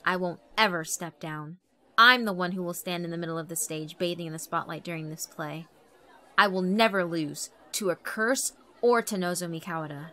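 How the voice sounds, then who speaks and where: A woman's voice: fairly low pitch, normal speed, pushed and bright. A woman voicing a tense, stubborn schoolgirl in a dramatic horror visual novel reading. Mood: defiant. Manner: intense, defiant, dramatic. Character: Midori, tense, stubborn schoolgirl lead of a play. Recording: background music under the voice.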